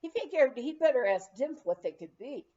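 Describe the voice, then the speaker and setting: A woman reads a scripted memoir with measured storytelling delivery. Her voice sits fairly high but is mellow and relaxed, and she takes her time. The recording is a little dull and lo-fi. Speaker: audiobook reader.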